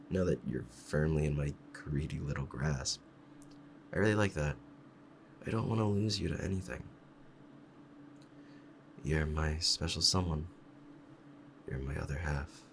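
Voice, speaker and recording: A man playing a doting, possessive fox-spirit husband in an intimate ASMR roleplay, talking softly in a rambling, hesitant, lovestruck way. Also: rumbling low, slight background noise. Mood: tender, possessive.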